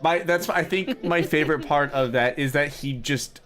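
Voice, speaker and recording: A man's voice: a little high, normal speed, fairly bright tone. A man chatting casually as a livestream co-host, enthusiastic and freely swearing. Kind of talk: casual chat.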